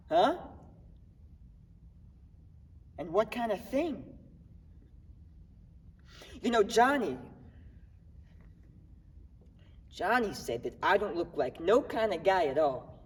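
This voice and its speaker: A young man performing a dramatic monologue as a defiant, grieving baker, agitated and wry, with halting, streetwise talk. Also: high voice, sing-song, breathy edge, heavily acted. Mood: defiant, sarcastic. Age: young adult. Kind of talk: dramatic monologue at a speech contest. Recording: echoey hall, recorded from far off.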